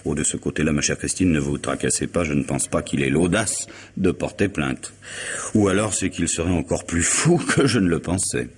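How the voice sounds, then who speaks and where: Urbane radio-play lawyer, deep voice with rasp, formal diction, composed and inquisitive. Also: male voice, animated, full character voice. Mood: wry, insistent. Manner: measured, formal, questioning. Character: urbane, probing male lawyer and family friend. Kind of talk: vintage French radio drama acting.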